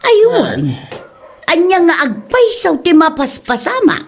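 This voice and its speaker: Woman acting an animated, scolding Ilocano wife. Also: extremely high pitch, slow, full character voice. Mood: indignant. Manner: scolding, emotive. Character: nagging, quarrelsome middle-aged Ilocano wife and mother. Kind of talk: radio-style comedy drama acting.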